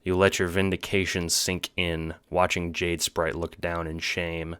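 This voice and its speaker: A man's voice: deep voice, little pitch movement, a little slow, a bit bright, smooth, no room sound. A man giving scripted narration for an audio book styled as a drama. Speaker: narrator, writer and producer.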